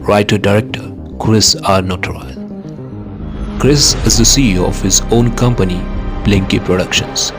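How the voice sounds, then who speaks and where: A man hosting a podcast, reading scripted outro credits and appeals to listeners. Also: lowish voice. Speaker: podcast host. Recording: clear music bed behind voice.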